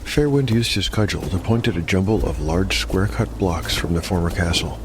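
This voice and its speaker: A man's voice: low voice, moderate pace, noisy background. A man speaking as a podcast narrator and host in a scripted, storytelling style. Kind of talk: scripted dark fantasy narration. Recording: music bed under the voice. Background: music.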